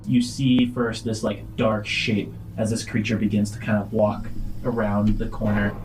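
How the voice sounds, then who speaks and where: A man acting as game master, narrating a tabletop roleplaying game in a halting, improvised way. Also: fairly low pitch, flat delivery, a little mellow, slight background noise, low-quality recording. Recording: music under the voice.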